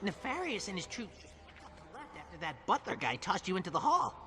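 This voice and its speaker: A jittery little caretaker robot. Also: male voice, high-pitched, sing-song, fairly quick, sharp tone, big-room sound, heavily acted. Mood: eager. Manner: fussy, jittery, eager to please. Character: nervous, eager little male caretaker robot.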